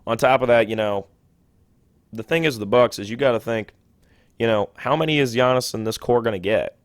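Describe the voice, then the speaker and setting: Young male podcast host, lowish voice, quick chatty delivery with self-corrections, dry close mic. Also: dry recording. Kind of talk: solo sports podcast talk.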